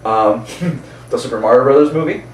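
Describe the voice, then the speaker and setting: A man's voice: medium pitch, normal speed, slight background noise. A man co-hosting a casual movie chat in an informal, jokey way. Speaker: fill-in co-host. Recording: echoey room, distant mic, faint ambience.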